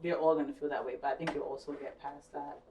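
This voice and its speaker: A woman's voice: low-pitched, fairly even intonation, fast talker. A woman co-hosting a podcast, chatting casually with friends in a reflective way, often trailing off and restarting her thoughts. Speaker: podcast co-host. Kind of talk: casual chat among friends. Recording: echoey room, mic at a distance, faint noise.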